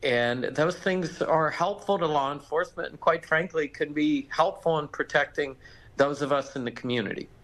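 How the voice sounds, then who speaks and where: A man's voice: a little high, moderate pace, a bit bright, low-quality recording. A man with an American accent, a lawyer explaining things conversationally as a legal expert in a live interview.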